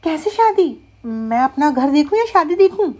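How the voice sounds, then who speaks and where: A woman voicing a caring, practical village wife in an animated moral story, emphatic and coaxing. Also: high voice, moderate pace, voice pushed up. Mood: concerned.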